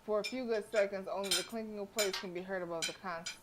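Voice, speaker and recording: A woman's voice: mid-range voice, steady tone, fast, noisy background. A woman livestreamer reading a visual novel aloud dramatically, with casual asides and stumbles she corrects as she goes.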